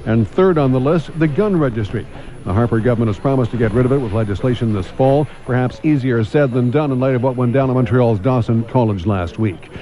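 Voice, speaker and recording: A man's voice: mid-range voice, fairly quick, warm tone. A man with a Canadian accent anchoring a morning radio newscast in a conversational, punchy style with clipped phrases.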